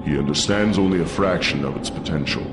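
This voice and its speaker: A man's voice: a bass voice, quick pace, loud music behind the voice. A man playing a grave, authoritative thunder god in a video game, speaking formally and solemnly. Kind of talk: video game cutscene acting.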